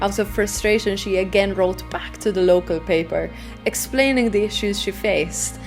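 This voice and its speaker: A woman reading scripted narration in a conversational way, with rhetorical touches, as for a video essay. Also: medium pitch. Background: background music.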